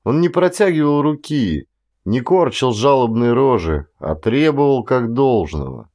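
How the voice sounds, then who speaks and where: A man's voice: mid-range voice, very animated, measured pace, darkish tone. A man narrating a literary audiobook as an actor reading dramatically.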